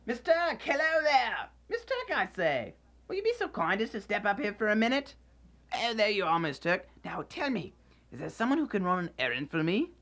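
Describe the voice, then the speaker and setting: A woman playing an old male miser reborn as a giddy, generous soul in a radio drama, exclaiming and repeating phrases excitedly. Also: medium pitch, a little fast. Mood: jubilant, excited.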